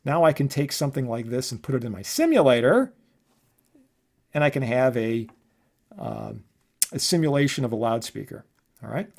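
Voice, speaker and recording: Male professor, mid-pitched voice, conversational lecture delivery with frequent check-in tags. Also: animated, normal speed, long pauses, a little mellow. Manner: conversational, often adding check words like "right?" and "okay?". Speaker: electronics professor giving a lesson. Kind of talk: informal lecture explaining equations.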